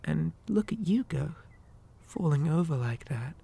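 A woman playing a possessive living doll in an ASMR horror roleplay, gently coaxing with childlike menace in a breathy, hesitant whisper. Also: deep voice, animated, exaggerated voice. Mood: eerily coy. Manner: soft, coaxing, slow and hesitant.

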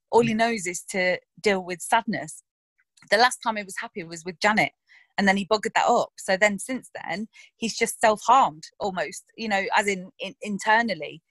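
An actress talks through her characters in a loose, frank interview, animated and quick to laugh or swear. Her voice sits mid-range for a woman and sounds dry and close, with no room around it. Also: medium pitch, no echo. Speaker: actress discussing her roles. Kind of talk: casual interview chat.

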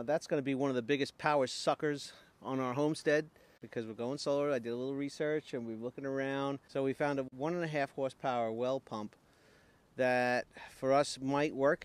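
A man's voice: medium pitch, steady tone, fairly quick, clean tone, no room sound. A middle-aged man from Long Island, explaining his building plans in a plain, practical way, recorded outdoors by a nearby camera. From Long Island, New York. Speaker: homesteading vlogger. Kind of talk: casual chat. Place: outdoors by a tent garage.

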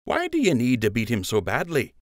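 A man's voice: medium pitch, wildly swooping pitch, fairly quick, no echo, clean studio sound, voice pushed up. A man voicing a teasing young male rabbit friend who ribs with a smirk. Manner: smirking, playful ribbing.

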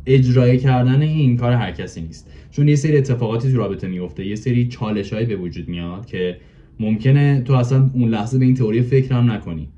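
A man's voice: mid-range voice, warm tone. A man in his twenties chatting casually as a podcast guest, explaining in colloquial speech and repeating words for emphasis. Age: 20s. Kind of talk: casual chat. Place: living room, indoors. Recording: dull, muffled video call audio.

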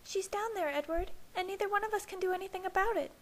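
A woman voicing a shaky, high young woman. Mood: shaken, worried. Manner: shaky, hurried. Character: young woman, anxious and apologetic.

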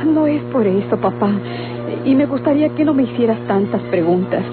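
Old tinny radionovela, actress doing high voiced mexican daughter, melodramatic, pleading but defiant. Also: female voice, expressive, brisk, close to an everyday voice. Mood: pleading, resolute. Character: anguished, defiant daughter of a rural Mexican family. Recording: tinny, humming old radio recording with music.

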